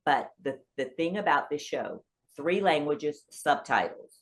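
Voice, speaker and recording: Lively woman podcast guest, low voice, storyteller who bursts higher when excited, from rural South Carolina. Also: measured pace. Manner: animated storyteller, pitch jumps high when excited. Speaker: family law attorney, guest. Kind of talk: storytelling in casual interview.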